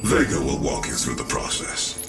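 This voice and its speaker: A grave, commanding cyborg scientist from a video game, with an extremely deep voice. Each phrase is measured and authoritative. The voice rings with roomy echo, and loud music plays behind it. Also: male voice, sing-song, creaky, heavily acted. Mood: grave, resolute. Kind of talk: video game cutscene acting.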